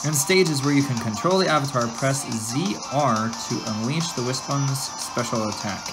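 Low-pitched woman, casual gameplay commentary, giggly and exclaiming, game music behind. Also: lively intonation, normal speed. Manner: giggly, easily distracted, exclaiming. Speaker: gameplay host. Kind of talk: casual let's-play commentary. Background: video game music.

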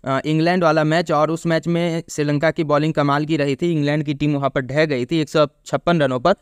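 A man talks through a cricket preview in a smooth, clear voice, a touch higher than most men. He reads score after score at an even clip, now and then stumbling and restarting a word. The sound is close and dry, with no room around it. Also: a little fast, dry recording. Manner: steady stat-reading with brief restarts. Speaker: cricket analyst. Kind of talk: pitch report and match preview.

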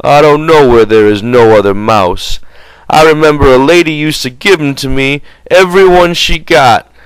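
Man voicing big, childlike man, pleading and high. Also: clear, resonant tone, no echo, lightly acted, poor audio. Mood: sad, apologetic. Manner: whimpering, slow, naive.

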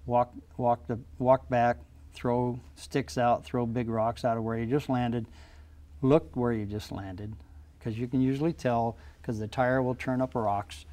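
A man's voice: mid-range voice, steady tone, unhurried, warm tone. An older man, a pilot, explaining flying practice in a plainspoken, practical way in a small TV studio interview on a lapel mic. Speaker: guest pilot.

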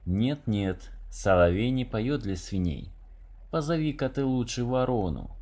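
A man voicing a harried, exasperated but soft-hearted man who answers endless calls in a children's storybook. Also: mid-range voice, big pitch swings, a little slow. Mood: reproachful.

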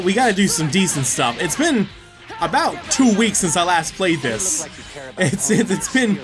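A man giving casual, rambling gameplay commentary as he plays, swearing freely and often repeating himself. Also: high-pitched. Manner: profane, rambling, often repeats words and exclaims. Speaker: gaming video creator and player.

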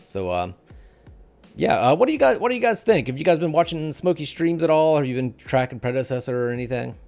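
A man's voice: fairly high pitch, very animated, fast, gravelly, a little mellow, soft background music. A middle-aged man hosting a casual discussion of gaming news, speaking into a microphone at home on a video call. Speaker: podcast host. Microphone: headset and desk microphone.

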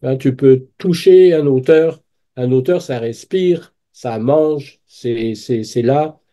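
A man in his sixties, a guest on a video call interview, answering in an earnest way that grows animated, often restarting his phrases. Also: mid-range voice, slow, lo-fi sound. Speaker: guest, book fair president. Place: small room indoors. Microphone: webcam on video call.

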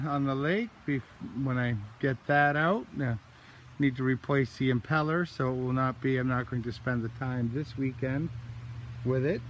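A man from New Hampshire giving a casual, halting walkthrough into a handheld phone, with many pauses and restarts. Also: medium pitch, roomy sound, a little hiss or hum. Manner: slow, halting delivery with many uh's and restarts. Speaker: outdoors vlogger. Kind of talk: casual show-and-tell walkthrough. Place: garage, then outdoors by a driveway. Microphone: handheld phone camera, moving around.

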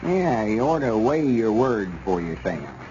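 Pompous old southern country justice, mellow drawl, exasperated, muffled old radio comedy w/ some hiss. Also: male voice, lowish voice, very animated, normal speed. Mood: preachy. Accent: rural Southern US. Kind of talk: 1940s radio comedy acting.

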